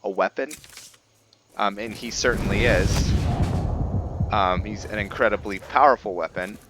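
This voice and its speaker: A man giving casual, reflective commentary over a roleplay video game, thinking aloud in a halting way. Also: fairly low pitch, very noisy. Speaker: gaming host.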